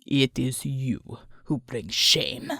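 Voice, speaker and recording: A woman voicing a cruel, sneering male thug leader who speaks slowly and menacingly in a much lower voice. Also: expressive, creaky, a little mellow, dry recording, studio quality, exaggerated voice. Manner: snarling, slow and menacing.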